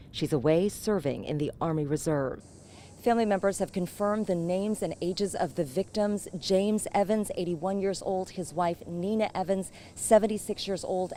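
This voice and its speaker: A woman TV news reporter reading a scripted story in a measured, steady voice. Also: fairly low pitch, slight background noise.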